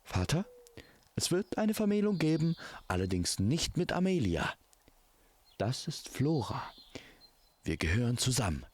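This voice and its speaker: A man's voice: medium pitch, very animated, measured pace, pauses often, voice pushed up. A man voicing a bold young prince in love, speaking earnestly in a fantasy audio story. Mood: resolute.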